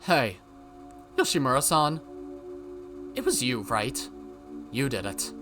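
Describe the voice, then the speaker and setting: A man's voice: mid-range voice, huge pitch swings, slow, over music. A man voicing a suspicious, frightened teenage girl in a visual novel, accusing and strained. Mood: accusing, cold. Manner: accusing, stammering, shrieking when cornered.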